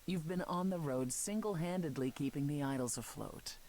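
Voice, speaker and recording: An actress playing a proud, composed goddess in a video game, speaking formally with a dry, deliberate manner. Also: female voice, low voice, warm tone, slight background noise, poor audio. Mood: measured, sincere. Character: composed, proud goddess, sardonic sister and former leader.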